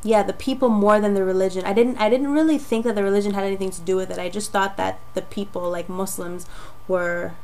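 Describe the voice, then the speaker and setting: Young woman from jamaica, voice a bit raspy from a cold, chatty and rambly, lots of likes and ums. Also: mid-range voice, fairly even intonation, quick pace, hardly any pauses, darkish tone. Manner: chatty and rambling, frequent fillers. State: getting over a cold. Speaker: vlogger. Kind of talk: casual personal testimony to camera.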